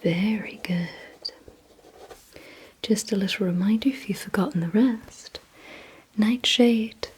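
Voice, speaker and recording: A woman performs an ASMR roleplay in a very breathy, mostly whispered voice. She speaks extremely slowly and deliberately, gently giving commands and instructions to the listener. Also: medium pitch. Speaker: ASMR creator playing a vampire giving memory and attention tests.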